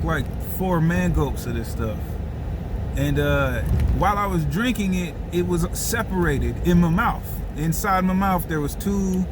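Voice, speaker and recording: A man's voice: high voice, noisy background. A man telling a comic personal story casually, with slangy asides and phrases repeated for dramatic effect. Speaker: storyteller and host.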